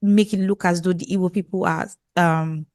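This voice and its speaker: A woman's voice: on the low side, moderate pace, no room sound, clean studio sound. A woman from southwest Nigeria with a Nigerian English accent, co-hosting a casual podcast and stacking up phrases as she talks. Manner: animated, self-correcting, stacks up phrases. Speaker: podcast co-host.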